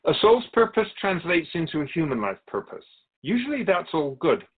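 A man playing a patient, wise, otherworldly Keeper of Souls who teaches in a measured, philosophical way in a radio drama. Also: on the high side, big pitch swings, breathy edge, phone-quality sound. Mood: patient, instructive. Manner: measured, philosophical, gently instructive. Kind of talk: scripted radio drama dialogue.

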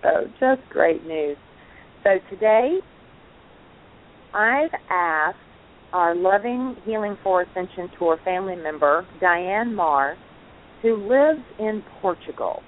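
Enthusiastic woman co-host, lowish voice, narrow phone-line sound. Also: lively intonation, slow pace, a little hiss or hum. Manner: effusive, enthusiastic. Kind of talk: casual online talk show chat.

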